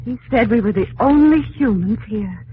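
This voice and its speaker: Anxious heroine female actor, urgent questioner, animated delivery, narrow-band old radio drama sound. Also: fairly high pitch, moderate pace, a little hiss or hum, close to an everyday voice. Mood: worried, questioning. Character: anxious young woman companion of a crime-fighter. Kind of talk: 1930s-40s radio acting. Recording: thin, muffled old radio recording.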